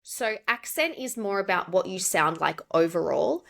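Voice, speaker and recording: An Australian woman, a pronunciation coach, explaining clearly and steadily as a podcast guest. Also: fairly low pitch, lively intonation, a bit bright. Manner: explanatory, measured. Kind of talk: podcast interview.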